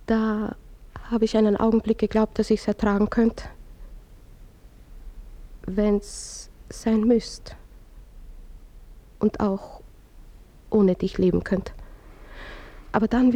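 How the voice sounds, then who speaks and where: An actress playing an anxious young wife and mother who confesses her jealousy in a hushed, halting voice in a radio play. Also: on the high side, close to an everyday voice.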